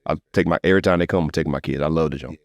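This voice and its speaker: A middle-aged American man hosting a podcast, telling stories in a casual, slangy, animated conversational way. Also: rumbling low, very fast, no room sound, clean studio sound. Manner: animated storyteller with slangy asides. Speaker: podcast host.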